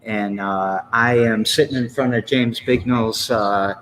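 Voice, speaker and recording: Man, fifties, low voice, rambling, self-correcting, casual interview host, faint background hiss. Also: fairly even intonation, unhurried, a bit bright, rough recording. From Lansing, Michigan. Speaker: podcast host.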